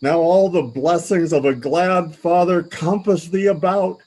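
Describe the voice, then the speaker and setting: An actor playing a grieving, repentant king and father in a Shakespearean radio play, husky, grave and deliberate. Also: high voice, darkish tone, rough recording. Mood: awed, tender.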